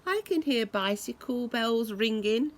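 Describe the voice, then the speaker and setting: A woman reading a children's story aloud in a deliberate, expressive way, imitating the sounds it describes. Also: fairly high pitch. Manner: deliberate, acts out noises expressively.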